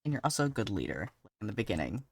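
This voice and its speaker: Very deep-voiced woman streamer reacting casually. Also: big pitch swings, rapid-fire, slightly rough, far from the mic. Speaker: game streamer. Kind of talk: casual live reaction to a game.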